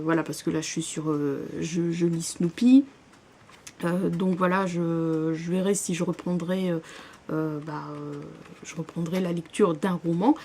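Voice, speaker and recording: A woman's voice: fairly low pitch, a little mellow. Hesitant woman chatting about books. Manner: hesitant, many fillers and restarts. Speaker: book vlogger. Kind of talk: casual chat about her reading.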